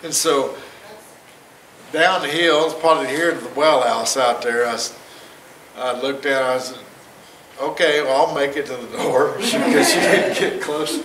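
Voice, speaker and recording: A man's voice: mid-range voice, unhurried, a bit bright. A man in his fifties telling a personal testimony to a church congregation, rambling and chuckling at his own jokes. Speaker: church member giving testimony. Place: church sanctuary stage, indoors, large. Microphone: far camera, no mic seen. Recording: roomy echo from far off, noisy.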